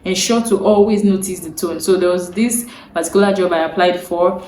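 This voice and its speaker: A woman's voice: lowish voice, a little fast, a little mellow, slight background noise. A young Nigerian woman, a native Yoruba speaker, giving earnest career advice to camera. She repeats her key points and checks in with her viewers. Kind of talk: instructional talk to camera. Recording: roomy echo, faint ambience.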